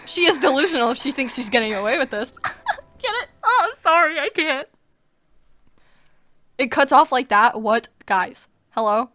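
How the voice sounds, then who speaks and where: A woman giving excited, dramatic reaction commentary to a video game, chatting casually and often exclaiming. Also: sits high, moderate pace, pauses often, no echo. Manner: dramatic, exclaims and shouts, emotional. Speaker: gaming content creator reacting to a game.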